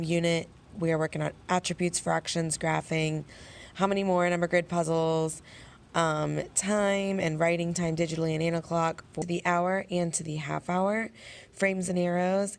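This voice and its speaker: A young woman teacher, hoarse and losing her voice, chatting casually in her classroom, with lots of "um" and "like". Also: on the low side. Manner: chatty, filler-heavy explaining. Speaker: elementary school teacher.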